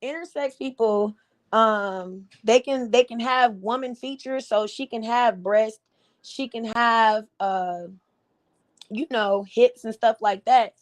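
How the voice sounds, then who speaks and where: A woman with a slightly raspy voice hosting a casual livestream, giving blunt, animated commentary with frequent laughs. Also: fairly high pitch, takes it slowly. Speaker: livestream host.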